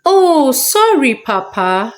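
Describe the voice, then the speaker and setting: A kind young village woman from a folktale, acted out by a woman. Her voice is high and melodic, polite, respectful and eager to help. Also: big pitch swings, slow pace, faint music behind, heavily acted. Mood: helpful. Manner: polite, obliging.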